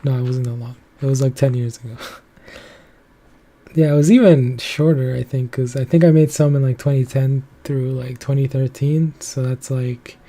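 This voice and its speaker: A man's voice: medium pitch, fairly even intonation, normal speed, dark tone. A young man talking casually on his own, loosely and in a rambling way, with many filler words. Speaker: commentary channel host. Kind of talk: casual solo commentary.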